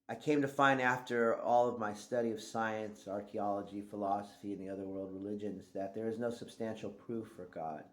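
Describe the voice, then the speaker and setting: A middle-aged man from Thailand, reading his own book aloud in a conversational way and letting his voice drop quietly at the ends of phrases. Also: lowish voice, echoey room. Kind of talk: reflective audiobook-style reading.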